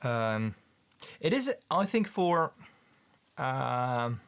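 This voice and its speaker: A man's voice: mid-range voice, very animated, a bit bright, smooth. Dutch-accented male streamer, casual, stop-start delivery. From Netherlands. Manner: halting, repeats words, many ums. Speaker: livestream host, paint maker. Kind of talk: casual livestream chat with viewers.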